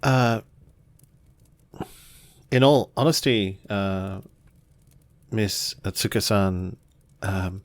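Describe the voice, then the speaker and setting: Tabletop game: apologetic samurai, acted by a man, very unhurried, hesitant, dry close sound. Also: on the low side, dry recording. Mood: uneasy, polite. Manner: hesitant, halting. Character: awkward, apologetic, courteous samurai retainer.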